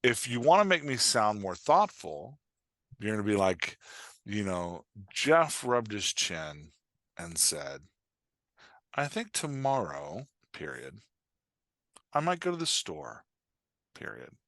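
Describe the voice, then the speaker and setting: Low-voiced man explaining, dry close recording. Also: slow pace, pauses often, no room sound. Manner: animated explainer, frequent "like" and "right?" check-ins. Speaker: podcast guest, dialogue writing coach. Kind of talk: casual explanatory chat with improvised examples.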